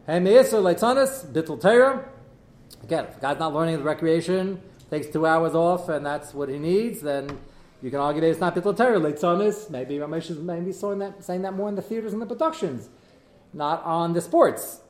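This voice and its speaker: A man leads a Torah class with a high-pitched voice, moving quickly between read-out Hebrew texts and his own explanations. His voice carries an echo from a roomy classroom. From United States. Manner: animated, reads out Hebrew texts and explains them. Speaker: rabbi teaching a class.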